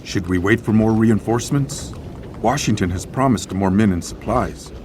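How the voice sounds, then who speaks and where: Man acting a deep, solemn warrior. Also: noisy background, close to an everyday voice. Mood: watchful. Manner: measured, formal, grave speech. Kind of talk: video game cutscene acting. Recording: music playing behind the voice.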